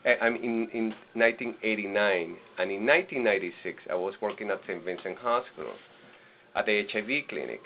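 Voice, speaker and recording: A man's voice: on the low side, lively intonation, slight background noise. Man from Venezuela giving a webinar. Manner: halting, with restarts and fillers. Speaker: presenter, nonprofit director. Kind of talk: webinar presentation. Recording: lo-fi webinar audio.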